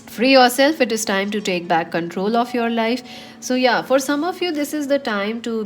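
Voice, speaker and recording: A woman with a cold and a slightly nasal voice, giving a chatty tarot reading to her viewers with many fillers. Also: mid-range voice. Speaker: tarot reader.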